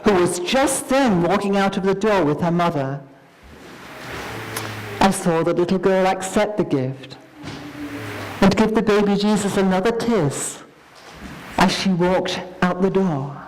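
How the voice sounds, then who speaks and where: Slow, expressive woman storyteller. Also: mid-range voice, rough recording. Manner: measured, expressive, with deliberate pauses. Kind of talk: dramatic scripted story reading.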